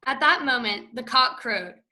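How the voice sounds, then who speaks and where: Bright woman narrating scripture. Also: sits high, animated, measured pace. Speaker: narrator of a church reading. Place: church.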